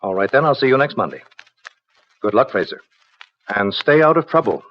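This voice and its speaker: A man playing a brisk, businesslike parole officer in a clipped, official manner, in the style of 1950s radio crime drama. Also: mid-range voice, very animated, close to an everyday voice. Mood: firm, businesslike. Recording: dull old radio broadcast recording.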